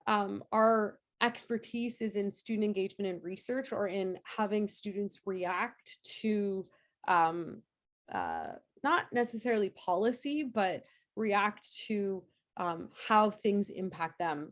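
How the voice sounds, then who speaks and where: A woman in a lecture Q&A, her voice hoarse as she gets over a cold. She answers off the cuff at a middling pitch, full of fillers and restarts. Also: expressive, slow. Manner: hesitant, many fillers and restarts. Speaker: nursing professor giving a lecture.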